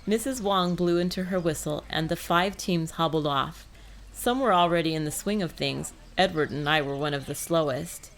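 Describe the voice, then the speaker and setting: A woman's voice: sits low, moderate pace, background noise. A woman reading scripted first-person fiction as an audiobook narrator.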